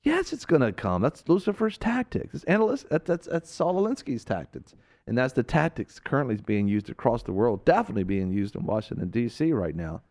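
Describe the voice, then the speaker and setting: A male radio preacher with a very lively, rising-and-falling voice. He drives his points with fervent emphasis, then drops into slow, hushed phrases. His pitch sits in the middle range for a man. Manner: fervent, emphatic, swings between loud and hushed. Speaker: radio show host and preacher.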